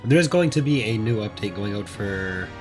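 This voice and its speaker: A man giving casual, rambling, low-key gameplay commentary, his voice still recovering after being mostly lost. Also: lively intonation, moderate pace, music in the background. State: recovering from voice loss. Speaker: host of a gaming playthrough series.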